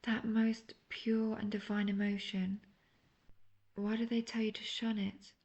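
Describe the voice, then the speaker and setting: Woman acting a creaky-voiced, obsessive lovestruck witch. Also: mid-range voice, little pitch movement, darkish tone, lo-fi sound, lightly acted. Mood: teasing, probing. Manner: breathy, slow, coaxing, often half-whispered. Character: obsessive young female witch, lovestruck and manipulative. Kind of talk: intimate roleplay audio drama.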